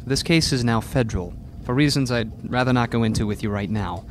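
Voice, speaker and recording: A man playing a stern, weary middle-aged father and prosecutor in a radio drama, speaking in a measured, admonishing way. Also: medium pitch, background noise, close to an everyday voice.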